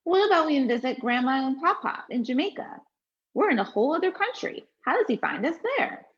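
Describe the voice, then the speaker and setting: A woman's voice: sing-song, brisk. A woman voicing a curious little girl. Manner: high, eager, wondering questions. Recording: dull lo-fi recording.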